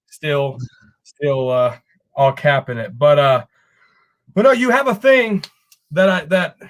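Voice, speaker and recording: Texas guy chatting football, chill and jokey, a bit high. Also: slow pace. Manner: relaxed, jokey, laughs while talking. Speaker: fellow show host. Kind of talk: casual sports chat.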